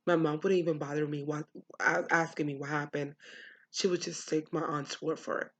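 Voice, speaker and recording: A woman's voice: low-pitched, flat delivery, moderate pace. Woman, young adult, from the US, blunt, frank vlog monologue, casual swearing. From United States. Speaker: vlogger. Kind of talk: candid personal monologue.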